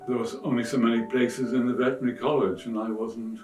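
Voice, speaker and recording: An older retired man answering interview questions, with soft music behind him. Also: medium pitch, fairly even intonation, quick pace, distant mic. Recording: echoey room, off the mic. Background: soft guitar music.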